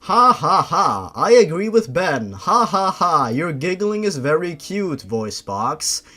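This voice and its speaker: A man's voice: a little high, big pitch swings, slow pace, sharp tone, exaggerated voice. A man voicing a gleeful, teasing gothic monster clown who cackles between phrases. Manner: punctuates speech with cackling ha-ha-ha.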